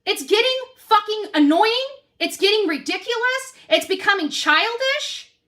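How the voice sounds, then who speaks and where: A woman's voice: very high-pitched, expressive, unhurried, sharp tone. A woman ranting casually in a blunt, defensive, profane manner on noisy livestream audio.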